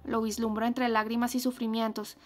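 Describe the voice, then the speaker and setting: A woman's voice: flat delivery, moderate pace, natural voice. A woman doing a feverish, pleading young woman. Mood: yearning. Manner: pleading, raised, higher than narration.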